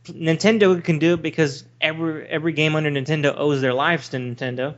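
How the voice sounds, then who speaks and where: Dull-sounding man, higher-pitched, chatting casually. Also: steady tone, fast. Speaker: podcast co-host. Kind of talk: casual discussion of video games. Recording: dull lo-fi voice recording.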